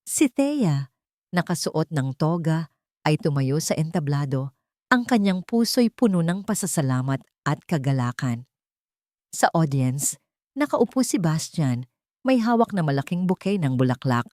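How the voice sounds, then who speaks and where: Low-voiced woman narrating a romance. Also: very animated, measured pace, a little mellow, no echo, clean studio sound. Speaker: storyteller. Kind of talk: scripted dramatic narration of a romance story.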